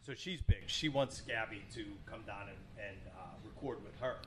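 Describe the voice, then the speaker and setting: A middle-aged man, a guest in a casual interview, talking chattily in short bursts and quick to laugh. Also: on the low side, a crowd in the background. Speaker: interview guest, singer's father. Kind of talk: casual chat.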